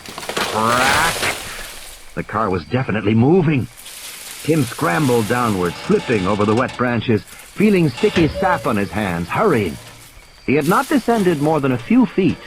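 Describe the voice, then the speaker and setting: Animated man narrating a suspenseful story. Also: mid-range voice, noisy background. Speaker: audiobook narrator. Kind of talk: dramatic scripted story narration. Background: cricket-like night ambience sound effects.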